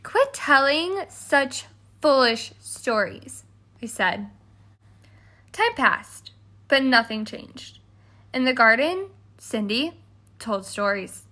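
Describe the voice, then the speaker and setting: A woman's voice: high-pitched, big pitch swings, takes it slowly, pauses often, bright, forceful tone, heavily acted. A woman reading a children's storybook as a haughty, exasperated stepmother who tells her side with dramatic emphasis. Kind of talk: scripted children's storybook read-aloud.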